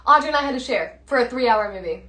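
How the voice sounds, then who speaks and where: A young woman, the host's daughter, chatting casually and telling animated stories full of "like" and "literally.". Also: a little high, quick pace, roomy sound. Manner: animated storyteller, says "like" and "literally" often. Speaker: co-host, daughter of the host. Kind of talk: casual chat.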